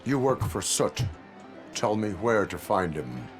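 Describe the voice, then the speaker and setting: An actor playing a stern, unflappable cyborg police officer in a video game, speaking in formal, deadpan, clipped commands. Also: male voice, on the low side, takes it slowly, over music.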